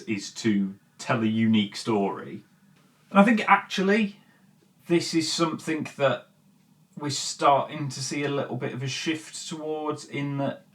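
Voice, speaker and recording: Blunt, sweary man giving casual film commentary. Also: on the low side. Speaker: host of a film commentary show.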